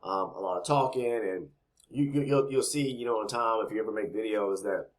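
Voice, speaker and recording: A man with a slightly raspy voice, talking casually and rambling as he explains trading, with many repeated words and frequent "okay?" tags. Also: on the low side, brisk. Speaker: trading educator.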